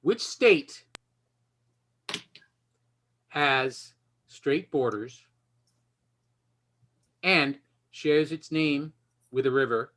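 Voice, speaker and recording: A man hosts a casual online game show in a bright, forceful voice. He talks off the cuff with joking, self-deprecating asides, as if on a video call. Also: on the high side, drawn-out words, long pauses. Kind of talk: casual unscripted hosting of an online quiz.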